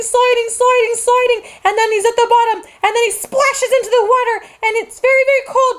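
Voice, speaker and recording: High-voiced woman reading a kids' story. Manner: slow, animated sing-song reading.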